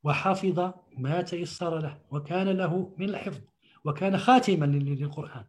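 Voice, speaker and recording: A man's voice: on the high side, racing, darkish tone. A man giving an earnest religious talk, repeating words for emphasis. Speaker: religious teacher. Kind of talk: religious talk answering questions.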